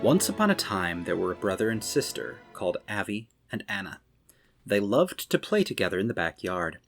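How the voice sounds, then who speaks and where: A man reading to children as a storyteller, expressive, with dramatic emphasis. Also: lowish voice, moderate pace, creaky, soft background music.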